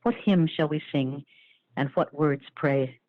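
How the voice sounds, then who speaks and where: A man with a fairly high voice recites poetry in a solemn, incantatory way. The recording is dry and thin, with a narrow phone-like sound. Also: slow pace, dry recording. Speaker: actor reading poetry.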